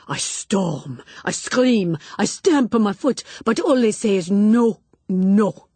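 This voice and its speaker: A woman voicing a flamboyant, superstitious middle-aged lady, loud and theatrical. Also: mid-range voice, expressive, takes it slowly, breathy edge, dry recording, pitched above the performer's own voice, full character voice. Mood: dramatic. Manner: theatrical, emphatic, loud declarations. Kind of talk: audiobook character voice.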